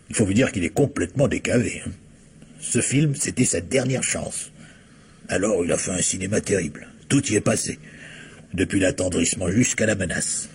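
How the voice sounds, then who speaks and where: A man's voice: low voice, vocal fry, a little mellow, slightly rough, heavily acted. An actor playing a gossipy film-studio informant in a French radio crime play, colloquial and emphatic in his telling. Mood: gossipy, insistent.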